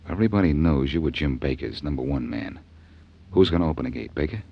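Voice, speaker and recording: An actor playing a shrewd, tough convict hero in a 1940s radio crime drama, with clipped, insistent talk. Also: male voice, very deep voice, lively intonation, fast, creaky, husky, exaggerated voice. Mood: hushed, questioning. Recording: muffled, noisy 1940s radio recording.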